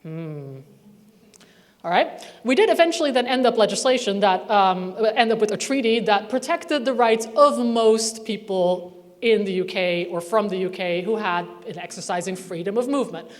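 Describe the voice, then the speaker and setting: A woman's voice: medium pitch, expressive, normal speed. A woman researcher presenting a report at a conference, conversational and wry with asides. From not from Northern Ireland. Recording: reverberant hall sound.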